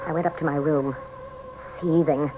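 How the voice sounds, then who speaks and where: A woman's voice: low voice, steady tone, moderate pace, dull audio, over music, close to an everyday voice. Woman playing a haughty governor's wife, old radio sound. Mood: bitter narration. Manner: haughty, clipped, melodramatic. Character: proud, scornful governor's wife with a secret. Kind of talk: 1970s-style radio drama acting. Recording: thin, band-limited old radio broadcast.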